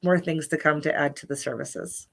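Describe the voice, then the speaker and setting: Bright low-pitched woman healer. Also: steady tone, normal speed, creaky. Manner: bubbly, many ums and restarts. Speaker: Reiki healer and guest. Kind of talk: casual interview chat. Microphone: livestream video call.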